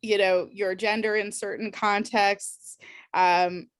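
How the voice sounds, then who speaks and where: A woman's voice: mid-range voice, flat delivery, slow pace, clear, resonant tone, no room sound. A woman facilitating a discussion workshop, explaining ideas thoughtfully with frequent ums and restarts.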